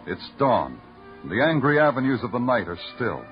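Casting: male actor as terse, world-weary American detective, low register, 1950s radio drama, dull aged recording with music. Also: big pitch swings, close to an everyday voice. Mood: brooding narration. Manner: terse, deliberate, poetic in narration. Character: hard-boiled, weary, brooding male police detective on night shift. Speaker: lead actor and first-person narrator.

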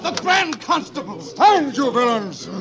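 A man's voice: very high-pitched, sing-song, a little slow, fairly bright tone, full character voice. An actor in a 1940s radio drama playing a blustering, menacing officer of the king's watch. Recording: dull vintage radio broadcast.

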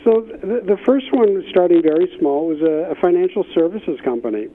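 Male business guest, slightly high voice, interview anecdotes, narrow phone-line audio. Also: lively intonation, fairly quick. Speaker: expert guest, business innovation consultant. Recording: thin phone-line sound.

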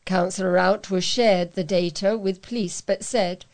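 A woman reads local news items aloud as a volunteer. Her voice sits at a middling pitch, and her delivery is plain and scripted, with an occasional small stumble. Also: steady tone, a little slow, a little mellow. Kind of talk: read-aloud local newspaper report.

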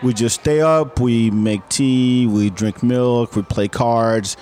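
A man's voice: medium pitch, takes it slowly, a little mellow, a little hiss or hum. A man from Senegal telling a ghost story in casual talk, rambling and repeating words as he acts out the dialogue. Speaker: guest storyteller.

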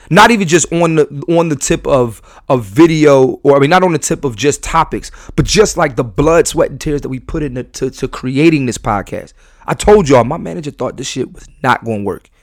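Passionate rambling podcast host. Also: male voice, on the high side, no echo, studio quality. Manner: passionate, rambling with many restarts. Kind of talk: live podcast monologue to audience. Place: live venue.

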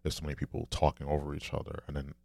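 A man with a deep, low, soft voice, talking candidly and rambling in a casual solo monologue, swearing now and then. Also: completely flat delivery, racing, creaky, dry recording.

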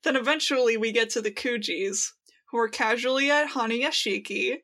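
A woman's voice: on the high side, normal speed, fairly bright tone. A woman co-hosting a podcast, retelling things in slangy casual chat and acting out quoted lines. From United States. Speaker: podcast co-host.

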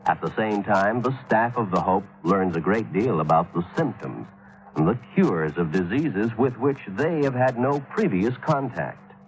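A man announces on vintage radio with earnest formality. His voice sits fairly low and moves expressively through measured, scripted phrases. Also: expressive, rough recording. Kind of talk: scripted public service narration.

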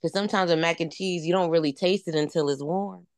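A woman's voice: on the low side, fast talker, a little mellow, dry recording, clean studio sound. A woman in a casual podcast chat among friends, laid-back and easygoing.

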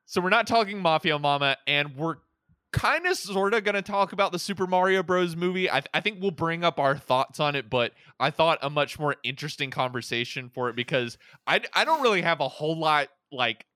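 A male podcast host with a bright, clean voice in a medium register, recorded close and dry. He chats casually and rambles, doubling back on his words as he goes. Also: on the high side, fairly quick, no room sound. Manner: rambling, with repeated words and self-interruptions. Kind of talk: casual chat about movies and games.

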